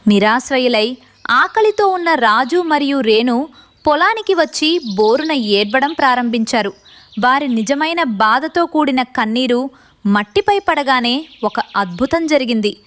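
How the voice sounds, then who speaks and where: A woman's voice: sits high, animated, unhurried, fairly bright tone. A woman narrating a scripted children's moral story.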